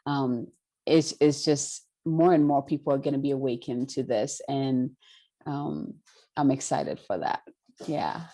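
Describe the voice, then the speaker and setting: A woman's voice: rumbling low, a little slow, mellow. A woman from Ghana hosting a spiritual conversation, speaking in an earnest, affirming, emphatic way.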